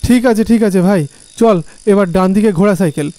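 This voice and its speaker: A man's voice: high voice, fairly quick, warm tone, close to an everyday voice. A man playing a nervous young village man in an audio horror story, speaking with urgent unease. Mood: hurried, agreeable. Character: nervous young village man, frightened friend on a night ride.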